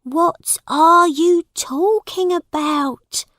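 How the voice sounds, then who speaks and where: An anxious, tender storybook mother, acted out by a woman reader. Her voice is raised high and pleads with careful emphasis. The words come very slowly, in a dry recording. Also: a bit bright, no echo, full character voice. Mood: worried.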